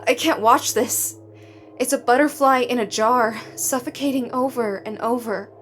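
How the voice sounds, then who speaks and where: A woman acting in an audio drama as a defiant, idealistic new recruit, speaking in a halting, emotional, indignant way. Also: a little high, faint music behind, close to an everyday voice. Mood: indignant, resolute.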